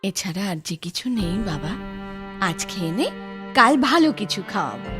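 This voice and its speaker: A woman voicing a patient, caring village mother in a cartoon, with a soothing, drawn-out delivery. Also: fairly low pitch, very animated, over music. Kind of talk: Bengali cartoon dubbing.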